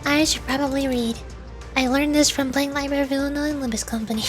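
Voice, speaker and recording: A woman with a high voice plays through a story game on stream. She reads the on-screen text aloud dramatically and drops into casual reactions, with game music playing behind her. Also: fairly even intonation, quick pace. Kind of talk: live game playthrough, reading dialogue aloud and reacting. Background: video game music.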